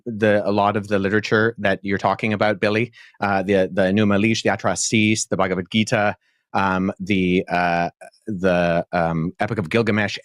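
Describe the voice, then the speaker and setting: Articulate low-voiced young man, earnest. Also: dry recording, studio quality. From born in Pakistan, childhood in Jordan. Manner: earnest and articulate, measured with frequent fillers. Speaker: debate guest, historian. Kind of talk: podcast debate answer.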